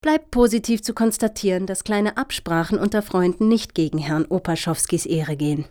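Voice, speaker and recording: A woman's voice: lowish voice, moderate pace, dark tone, natural voice. A woman acting in a radio satire as a composed, self-assured political campaigner with a polite, prim manner. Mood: smugly composed.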